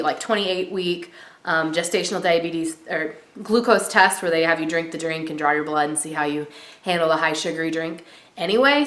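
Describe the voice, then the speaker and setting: A woman's voice: fairly low pitch, a bit bright. Woman, 30s, vlogger talking to camera in a small echoey room, nasal from a cold, chatty and rambling. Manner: chatty, many ums and likes. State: stuffy from a cold, clearing throat. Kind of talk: casual pregnancy update vlog. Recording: roomy echo.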